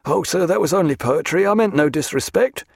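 A man performs a superstitious old-time sailor for an audiobook. He is dogged and foreboding but respectful, speaking in emphatic nautical phrases. His voice is raised and very animated, in a dry recording. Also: a little high, normal speed, creaky, dry recording, voice pushed up, heavily acted. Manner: emphatic, old-fashioned nautical speech with proverbs. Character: dogged, superstitious, gloomy older sailor, deferential to his captain.

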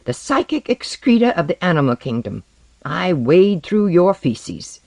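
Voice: medium pitch, very animated, measured pace, dry recording, pitched above the performer's own voice. A narrator voicing an arrogant, exasperated man who spits out contempt in a story reading. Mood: exasperated disgust. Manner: contemptuous, shouting outbursts. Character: high-voiced, venomous, exasperated man, an arrogant outcast space surveyor.

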